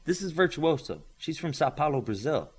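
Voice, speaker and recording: Male reader as young boy student, audiobook character: wry, questioning, easily exasperated, very animated intonation. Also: fairly high pitch, moderate pace, breathy edge, pitched above the performer's own voice. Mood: curious.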